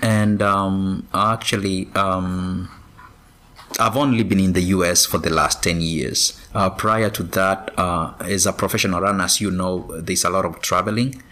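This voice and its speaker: A man from Kenya, a former professional runner, answering interview questions in a measured way with frequent pauses. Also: sits low, steady tone, bright, forceful tone. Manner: measured, many pauses and ums.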